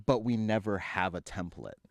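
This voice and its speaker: A podcast host asking questions in a chatty, playful, rambling way during a casual interview. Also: male voice, low-pitched, lively intonation, moderate pace, no echo.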